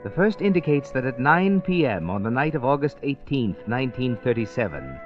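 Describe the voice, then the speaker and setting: A man's voice: mid-range voice, sing-song, natural voice. Man as an ominous, mysterious radio storyteller. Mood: measured, foreboding. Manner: slow-building, portentous, theatrical. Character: ominous, mysterious male night-walking storyteller. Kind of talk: 1940s radio mystery narration. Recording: dull vintage radio recording. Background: music.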